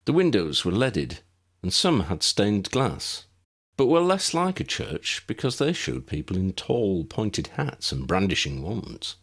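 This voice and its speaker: A man's voice: fairly low pitch, sing-song, unhurried. A man narrating an audiobook story in a scripted storytelling style.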